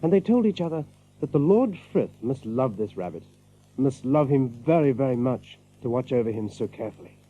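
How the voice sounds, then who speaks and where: A man narrating a scripted audio drama as a storyteller, with measured, dramatic delivery. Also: medium pitch, animated, husky. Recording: muffled recording with music behind.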